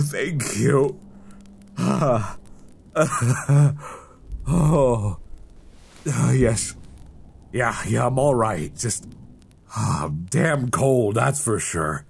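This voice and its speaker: A man's voice: mid-range voice, expressive, a little hiss or hum, full character voice. A man playing a shivering, defensive stranger in a horror audio roleplay, stammering and sighing as he talks. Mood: shivering relief. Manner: halting, stammering, breathy sighs. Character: shivering, defensive man, secretly an impostor creature. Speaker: voice actor. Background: music.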